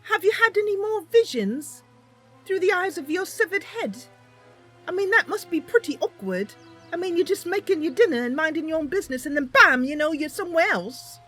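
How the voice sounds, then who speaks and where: A woman puts on a young wood elf adventurer for a fantasy game roleplay. The voice is very high and animated, with a bubbly, wide-eyed manner. It is chatty and inquisitive, with soft music behind. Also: brisk. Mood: curious, cheeky.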